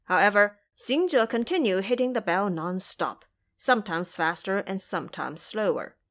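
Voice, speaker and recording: A female storyteller narrates an audio drama. Her delivery is expressive and careful, with lively rises and falls in pitch around a medium register. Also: moderate pace.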